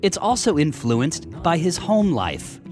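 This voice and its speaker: A man's voice: on the high side, sing-song, measured pace, a little mellow. A man hosting a radio music show, reading a scripted introduction. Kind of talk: scripted narration.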